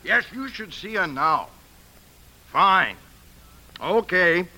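Brief: genial American boss, high bright voice, swooping delivery, chatty club-man type, old radio drama, faint noise. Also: expressive, slow pace, exaggerated voice. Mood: jovial. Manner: hearty, easygoing. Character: jovial, chatty male bank manager, golf enthusiast. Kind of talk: 1950s radio drama acting. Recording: faintly noisy 1950s radio broadcast.

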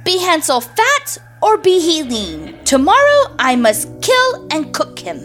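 A woman voicing a wicked old witch, spiteful and greedy, with a shrill, gloating, cackling manner. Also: wildly swooping pitch, takes it slowly, full character voice. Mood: harsh commanding. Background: soft music.

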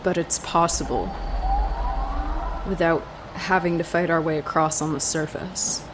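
Slow-spoken, blunt frontline fighter. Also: female voice, deep voice, steady tone, background noise. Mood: stunned, hushed. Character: blunt, challenging woman fighter from the front line. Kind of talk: audio drama acting.

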